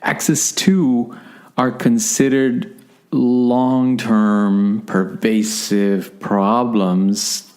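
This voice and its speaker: A man co-hosting a relationship podcast as the psychology expert, explaining terms in a measured way. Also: mid-range voice, flat delivery, a little mellow.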